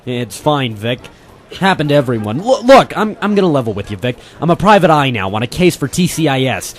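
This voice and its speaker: A man's voice: mid-range voice, sing-song, a little fast, natural voice. A man playing a persistent, wry ex-Marine private eye in an old-style detective radio drama, hardboiled and informal, narrating and talking in character. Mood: coaxing, wry. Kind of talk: 1940s detective radio drama, first-person narration and dialogue.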